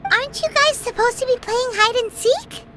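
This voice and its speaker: A woman voicing a tiny, cheeky fairy girl companion in a video game, squeaky and fretful. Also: moderate pace. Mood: amazed, concerned. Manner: squeaky, fretful, refers to herself by name. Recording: loud music behind the voice.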